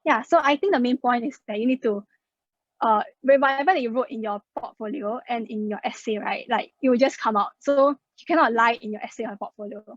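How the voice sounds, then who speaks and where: A woman's voice: a little high, brisk, dry recording. A young woman presents slides about a scholarship in a casual, explanatory way, with plenty of "uh" and "like". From Singapore. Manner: chatty, many fillers like "uh" and "like". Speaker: scholarship student sharing her experience. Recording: dull, dry lo-fi sound.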